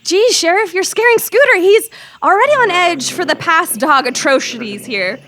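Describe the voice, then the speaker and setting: An earnest teenage girl reporter in an audio drama, played by a woman. The voice is very high and swoops expressively, with nervy stammers and a pleading edge. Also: expressive, moderate pace, bright, forceful tone, heavily acted. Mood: nervous, reluctant.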